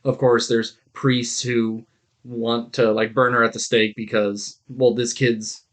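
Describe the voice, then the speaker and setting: A man's voice: medium pitch, little pitch movement, takes it slowly. A man co-hosting a podcast in casual chat, telling stories in an irreverent, digressive way with some swearing. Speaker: podcast co-host.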